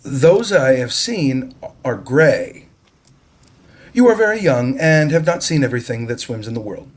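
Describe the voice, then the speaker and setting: A sensible, bossy twelve-year-old from a storybook, played by a man. The voice is lifted in pitch, with a firm, instructive manner. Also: sing-song, a little slow. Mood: patronising.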